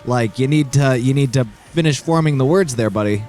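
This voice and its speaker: A man's voice: mid-range voice, brisk, darkish tone, faint music behind. A man co-hosting a let's play with casual joking commentary, playfully mocking and echoing phrases as he plays. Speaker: let's play co-host playing the game.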